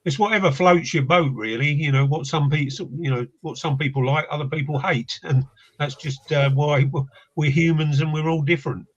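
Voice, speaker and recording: A man's voice: a little high, normal speed. An older man, a paranormal investigator from England, talking as a guest in a casual chat, reflective and hesitant with many restarts. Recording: dull lo-fi line.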